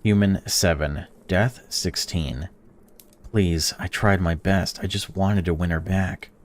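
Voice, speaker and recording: A man hosting a gaming channel, reading a visual novel's text aloud while playing and breaking off into excited or indignant reactions. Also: sits low, takes it slowly, slight background noise. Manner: reads game text flatly, then blurts excited or indignant reactions.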